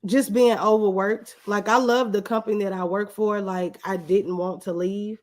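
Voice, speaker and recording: A woman's voice: mid-range voice, fairly even intonation, normal speed, darkish tone. Woman guest chatting in a casual interview.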